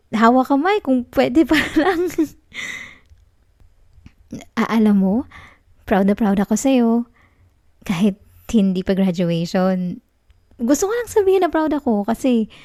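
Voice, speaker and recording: Soft, creaky-voiced clingy young Filipino girlfriend played by a woman. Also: a little high, dry recording, clean studio sound, exaggerated voice. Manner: soft, coaxing, halting, affectionate. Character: clingy, tender young student girlfriend, anxious and pleading. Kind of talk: intimate ASMR roleplay addressed to the listener.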